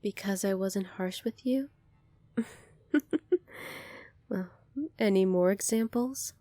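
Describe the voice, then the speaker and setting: A woman playing a gentle, earnest young alien princess in an ASMR roleplay, speaking softly and politely to the listener. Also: medium pitch, takes it slowly, no echo, lightly acted. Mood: shy, grateful. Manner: soft-spoken, polite, earnest, apologetic.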